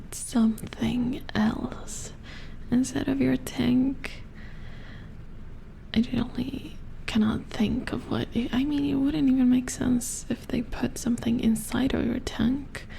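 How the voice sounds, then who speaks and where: A woman playing a weary, puzzled robot repair engineer in a soft-spoken ASMR horror roleplay, murmuring hesitantly in a hushed, breathy voice close to the mic. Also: on the high side, full character voice, low-quality recording. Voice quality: breathy whisper with fry. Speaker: ASMR performer. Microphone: close ASMR microphone.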